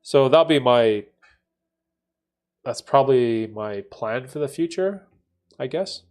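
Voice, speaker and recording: A man's voice: mid-range voice, animated, takes it slowly, a little mellow. A man in his late thirties hosting a casual livestream, talking in a thoughtful, rambling way and often tagging his sentences with "right?". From Canada. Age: 30s. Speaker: livestream host answering chat. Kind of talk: casual reflective livestream chat.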